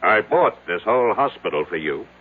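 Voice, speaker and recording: A man's voice: lowish voice, sing-song, normal speed. An actor in an old-time radio drama playing a skeptical, domineering rich businessman who questions curtly and gives orders. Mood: angry, imperious. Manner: curt, commanding, interrogating. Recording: tinny, band-limited old radio broadcast.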